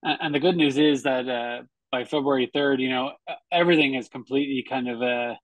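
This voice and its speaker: A man's voice: medium pitch, brisk, no echo, low-quality recording. A man in his forties hosting a conversational interview over a video call, chatty and affirming. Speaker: show host, interviewer. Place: room at home, video call.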